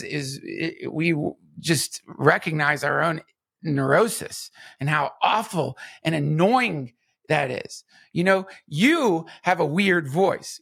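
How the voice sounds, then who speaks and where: Gravelly, husky middle-aged man. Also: fairly high pitch, no echo, clean studio sound. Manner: animated, rambling with many restarts. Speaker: podcast co-host. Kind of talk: casual conversation.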